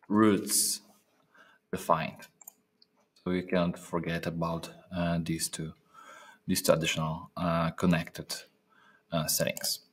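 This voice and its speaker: A man narrating a step-by-step software training demonstration, speaking haltingly with pauses in mid-phrase. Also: low voice. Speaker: software trainer presenting a tutorial.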